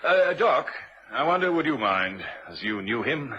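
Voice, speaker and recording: A man's voice: medium pitch, big pitch swings, unhurried, sharp tone, a little hiss or hum. A man playing a probing, unsentimental police inspector in a vintage radio drama, with an authoritative, matter-of-fact way of talking. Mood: businesslike. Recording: dull, muffled vintage radio recording.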